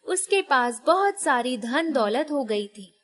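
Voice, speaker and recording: A woman's voice: high-pitched, lively intonation, slow. A woman reading a scripted story as its narrator.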